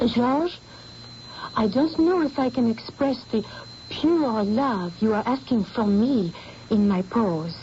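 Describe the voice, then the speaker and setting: An actress playing a haunted young artist's model, speaking softly and earnestly in an old radio drama. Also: female voice, a little high, slow, slight background noise. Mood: confessional. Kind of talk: 1970s radio drama acting. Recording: dull lo-fi old radio recording.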